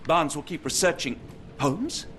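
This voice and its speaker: An actor playing a loyal, principled Victorian doctor in a video game, British, earnest and formally articulate. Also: male voice, fairly high pitch, sing-song, unhurried, fairly bright tone, a little hiss or hum. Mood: earnest, urgent. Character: loyal, principled Victorian male doctor, steadfast companion to detective. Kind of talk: video game cutscene acting. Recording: music behind the voice.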